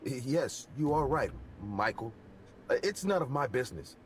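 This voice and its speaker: A man voicing a nervous, polite young fast-food worker who stammers and speaks overly formally. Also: mid-range voice, big pitch swings, slight background noise, pitched above the performer's own voice, natural voice.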